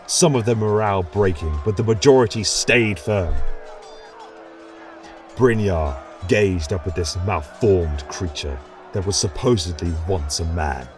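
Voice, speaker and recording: Low-voiced man narrating a dark fantasy tale. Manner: measured, dramatic storytelling. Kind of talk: dramatic audiobook narration. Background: faint music.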